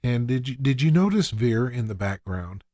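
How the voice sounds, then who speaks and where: A man's voice: medium pitch, very animated, normal speed, mellow, no room sound. A man co-hosting a podcast, recapping a TV episode in casual conversation. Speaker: podcast co-host.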